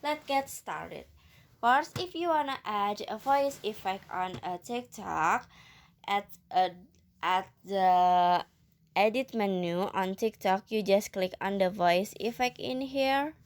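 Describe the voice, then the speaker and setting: A woman hosting a spoken app tutorial, with halting, non-native phrasing and a simple scripted greeting style. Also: medium pitch, lively intonation. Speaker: tutorial host.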